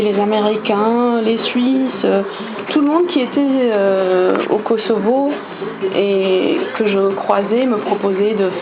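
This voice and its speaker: A woman's voice: medium pitch, lo-fi sound, background noise. Hesitant woman. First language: German. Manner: hesitant, many fillers and restarts. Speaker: vlogger. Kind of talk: casual storytelling to camera.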